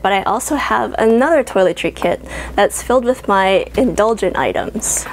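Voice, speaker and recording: A woman in her thirties presenting travel gear in an upbeat, explanatory vlog, recorded on a lapel mic in a small bedroom. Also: mid-range voice. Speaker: travel vlogger. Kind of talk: scripted product walkthrough. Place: small bedroom with a closet, indoors. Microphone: clip-on lapel mic.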